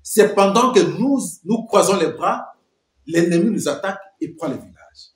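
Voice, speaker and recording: Emphatic man, lively high voice. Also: moderate pace, echoey room. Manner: emphatic, rhetorical questions, repeats phrases. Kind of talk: political commentary interview.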